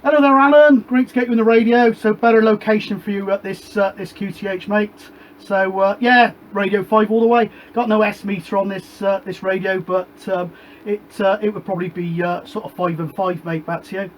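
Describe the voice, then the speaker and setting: Chatty high-voiced British man. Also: fairly even intonation, fairly quick, a little hiss or hum, low-quality recording. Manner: rambling and chatty, ends each turn with "back to you". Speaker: CB radio operator and show host. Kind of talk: casual radio chat on a CB net. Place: home shed radio room with a gas fire.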